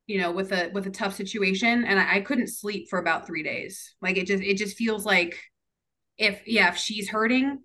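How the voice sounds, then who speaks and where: Bright-voiced young woman, chatty guest. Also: mid-range voice, moderate pace. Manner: chatty, emotional, with laughs and fillers. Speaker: podcast guest, twin. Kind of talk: casual chat. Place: San Diego.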